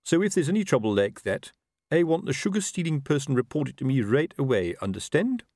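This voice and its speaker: A man's voice: a little high, very animated, fairly quick, a little mellow, no echo, studio quality, voice pushed up. A man voicing a self-important, pompous and rather dim commanding officer in a storybook.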